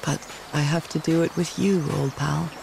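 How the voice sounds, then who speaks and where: An actress playing a wistful, curious 1930s woman explorer in a video game, thinking aloud in a gentle, articulate way. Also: low voice, fairly even intonation, normal speed, gravelly, noisy background. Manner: gentle, articulate, musing, wonder-struck.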